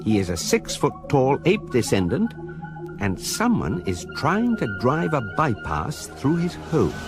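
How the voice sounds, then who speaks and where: An actor playing a wry, unflappable guidebook narrator in a British accent, measured and precise, in a comic radio drama. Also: male voice, medium pitch, huge pitch swings. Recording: radio production with music behind.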